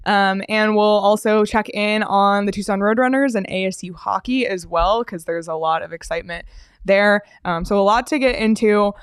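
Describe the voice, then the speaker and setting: A woman hosting a hockey talk show, guiding the conversation in a relaxed, casual way with frequent fillers. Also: mid-range voice, normal speed, clean tone, no echo, studio quality. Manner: steady, uses fillers, leads the segment. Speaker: sports show host.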